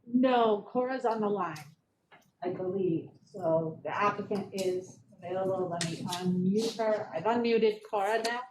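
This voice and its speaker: A woman's voice: mid-range voice, a little slow, a little mellow. A woman on town staff, handling a caller at a meeting in a hesitant, matter-of-fact way. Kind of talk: meeting logistics. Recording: echoey room, faint noise.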